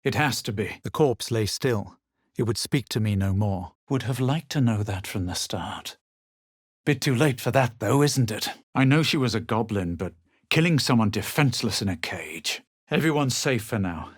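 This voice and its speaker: A man's voice: on the low side, animated, normal speed, breathy edge, no echo, clean studio sound. A man playing a haughty, self-assured fantasy adventurer in a video game, with a superior, clipped and dramatic delivery. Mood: haughty, wary. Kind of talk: video game voice lines and narration.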